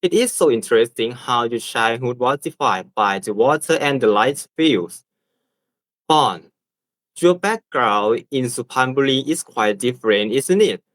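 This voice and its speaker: A man's voice: mid-range voice, animated, measured pace, a bit bright, dry recording. A nineteen-year-old man with a Thai accent, reading a scripted class presentation and prompting his classmates. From Thailand. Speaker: student presenter.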